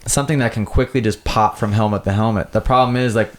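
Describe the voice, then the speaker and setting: Relaxed low-voiced man telling stories. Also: fast talker. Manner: relaxed storytelling, laughs while telling. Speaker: motocross rider and riding coach. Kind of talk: casual chat.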